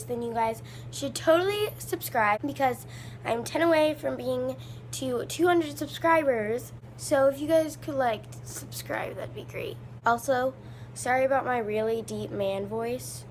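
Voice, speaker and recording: A woman's voice: fairly high pitch, a little hiss or hum. Chatty teenage girl vlogging on a phone. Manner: chatty, lots of "like" and "um" fillers. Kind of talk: casual vlog chat. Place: home and outdoors, garden trampoline.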